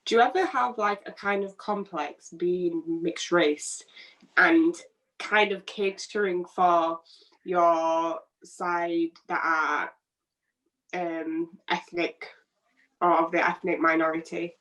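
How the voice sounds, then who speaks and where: Low-voiced woman podcast host, mic distant. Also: steady tone, slow, fairly bright tone. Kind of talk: casual interview questions.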